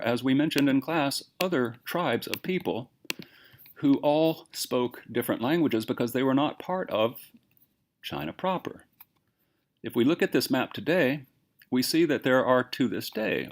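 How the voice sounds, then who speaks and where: A man's voice: mid-range voice, expressive, moderate pace, slight background noise. A man teaching a class, lecturing with emphatic rhetorical questions.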